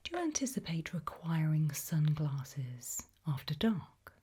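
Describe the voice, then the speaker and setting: A woman performs an ASMR roleplay in a very breathy, soft whisper. Her voice sits low, and she speaks politely and formally, like a tailor consulting a client with careful, measured questions. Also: sing-song.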